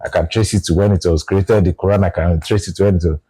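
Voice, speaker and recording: A Nigerian man talking through his views in a relaxed conversation, often restarting his phrases. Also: deep voice, quick pace, slightly rough. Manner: reflective, restarts phrases, explains with examples. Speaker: guest. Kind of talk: casual conversation.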